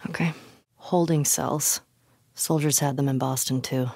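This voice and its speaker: A young, tough female survivor in a naturalistic video game style. Her voice is low and rough-edged, recorded very dry. She mutters terse, wry asides like someone used to fending for herself. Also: fairly even intonation, unhurried, warm tone, no room sound, heavily acted. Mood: determined. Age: young adult.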